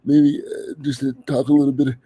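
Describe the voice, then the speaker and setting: Dark-voiced man hosting, a little sick. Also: mid-range voice, little pitch movement, a little fast, husky, no echo. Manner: earnest, enthusiastic, many fillers and restarts. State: a little sick, voice under the weather. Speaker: podcast host. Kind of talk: casual podcast talk.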